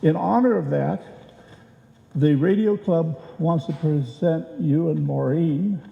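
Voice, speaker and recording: An older man presents at a live event in a slow, halting way. His voice is fairly high-pitched and mellow, ringing through a reverberant hall as he speaks informally to the audience. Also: gravelly, highs cut off. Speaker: club presenter at an award presentation. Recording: echoey hall with long reverb.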